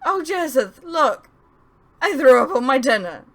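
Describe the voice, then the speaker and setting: A woman's voice: high voice, sing-song, measured pace, voice pushed up, heavily acted. A woman voicing a giddy, giggling young woman in an audiobook, breathless, reckless and gushing.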